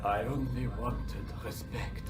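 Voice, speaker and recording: An actor playing a haughty, sneering French baron in a video game, pompous and condescending with a French accent. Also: male voice, mid-range voice, steady tone, takes it slowly, over music, natural voice. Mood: offended. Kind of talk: dramatic video game cutscene acting.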